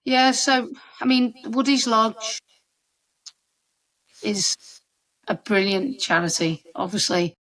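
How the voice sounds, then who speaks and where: A woman's voice: a little high, takes it slowly, pauses often, a bit bright, rough recording. A woman with a Scouse accent hosting a podcast interview, chatty and encouraging, often restarting her sentences. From Liverpool area. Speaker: podcast host.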